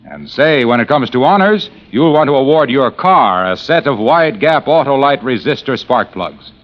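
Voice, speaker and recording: A man's voice: mid-range voice, expressive, moderate pace. An American man announcing and selling on a 1940s radio show in an emphatic, salesmanlike style. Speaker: radio announcer and sponsor pitchman. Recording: muffled vintage radio broadcast.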